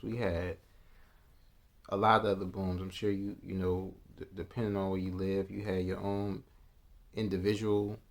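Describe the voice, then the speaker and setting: A man vlogging a casual reaction, musing aloud in a loose, slangy way with restarts and asides. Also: on the low side. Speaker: vlogger reacting to news clips.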